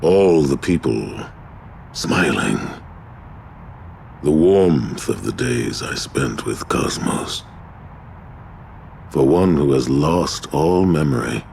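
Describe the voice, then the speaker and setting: An actor playing a huge, weary god of discord in a video game, speaking in a brooding, solemn, sorrowful and halting way. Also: male voice, rumbling low, creaky, a little mellow, slight background noise, full character voice. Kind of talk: dramatic video game voice acting.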